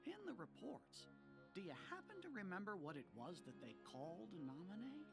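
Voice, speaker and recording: Cartoon game role: scholarly little cricket, swooping intonation, earnest, over clear music. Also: male voice, sits high, huge pitch swings, brisk, exaggerated voice. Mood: earnest, thoughtful. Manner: earnest, careful, explaining. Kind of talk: video game voice acting. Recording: music behind the voice.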